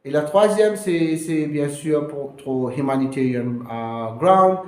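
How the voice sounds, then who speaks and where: A man from Mauritius, a lawyer explaining to camera in a halting way, full of fillers and English terms. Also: a little high, darkish tone, echoey room. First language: Mauritian Creole. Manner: hesitant, many fillers, slips into English terms. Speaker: immigration lawyer.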